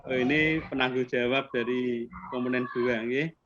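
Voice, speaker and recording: A man's voice: mid-range voice, steady tone, moderate pace, a bit bright. A man in his fifties with a Javanese accent, an assessor chatting in a friendly way with teachers over a video call. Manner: friendly, chatty, mixes in Javanese politeness words. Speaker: accreditation assessor. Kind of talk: online interview with teachers. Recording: muffled video call audio with background noise.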